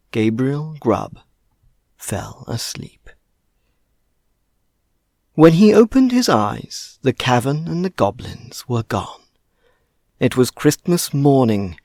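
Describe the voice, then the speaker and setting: A woman reading scripted stories and segments for children as a show presenter, with expressive, deliberate delivery. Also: rumbling low, gravelly, no echo, studio quality.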